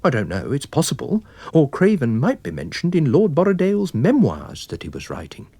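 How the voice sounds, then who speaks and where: A man voicing an affable, observant wine salesman and amateur sleuth, polite and gently pointed, in a dramatised story reading. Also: mid-range voice, huge pitch swings, a little fast, creaky, husky, no room sound, pitched above the performer's own voice, heavily acted. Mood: eagerly explaining.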